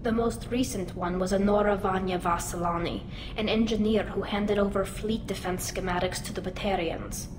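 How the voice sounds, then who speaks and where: A woman's voice: medium pitch, fairly even intonation, normal speed, echoey room, noisy background, close to an everyday voice. A woman playing an earnest young alien woman accused of treason, speaking formally in video game dialogue. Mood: somber, explaining.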